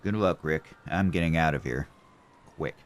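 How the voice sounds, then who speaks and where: An actor playing a nervous, absent-minded taxi driver in an audio drama, hesitant and given to thinking aloud. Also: male voice, extremely low pitch, moderate pace, very noisy. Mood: uneasy. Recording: vehicle ambience behind the voice.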